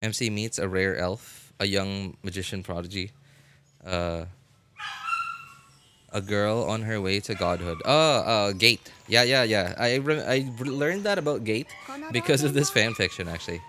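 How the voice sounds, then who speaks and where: Low-voiced man chatting on a livestream. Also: background noise. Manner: halting, thinking aloud, trailing off. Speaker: live game streamer. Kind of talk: casual rambling livestream chat. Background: music.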